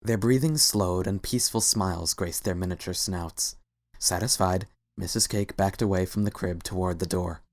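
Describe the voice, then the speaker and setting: Male narrator, low pitch, scripted storytelling, dramatic reading. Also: normal speed.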